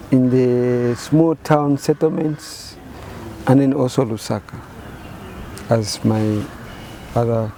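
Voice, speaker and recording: Soft-toned Zambian man in his fifties. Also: mid-range voice, a little hiss or hum. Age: 50s. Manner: measured, halting phrasing with repeated words and fillers. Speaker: painter and photographer interviewee. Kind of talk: reflective interview answers.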